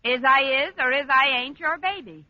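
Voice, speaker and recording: A woman acting a sardonic, quick-witted schoolteacher in a 1940s radio comedy, with dry wisecracking delivery. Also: high voice, very noisy, lightly acted. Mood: wry. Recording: dull vintage 1940s radio recording.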